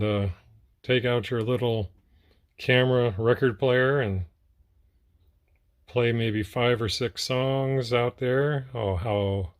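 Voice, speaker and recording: A man gives a casual hands-on demonstration in a medium-pitched voice. He talks in an unhurried, conversational way, thinking aloud as he explains each step. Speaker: record collector demonstrating a portable player.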